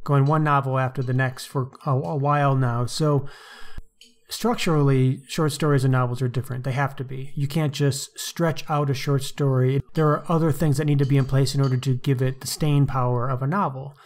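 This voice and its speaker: A man's voice: medium pitch, little pitch movement, a little fast. A man co-hosting a podcast, discussing books casually in an articulate, wry way. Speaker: podcast co-host.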